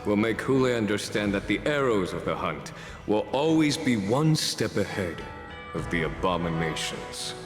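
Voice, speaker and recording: An actor playing a composed, calculating strategist commander in a video game, measured, assured and formal. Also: male voice, lowish voice, animated, lightly acted. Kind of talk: video game cutscene dialogue. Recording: echoey hall, faint music.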